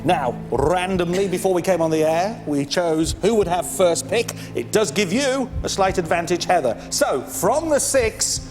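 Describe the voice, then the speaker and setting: A man's voice: sits high, lively intonation, normal speed, few pauses. A man hosting a TV quiz show in an upbeat, bantering presenter's style. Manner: jocular, bantering with contestants. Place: TV studio with audience. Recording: loud music and audience behind the voice.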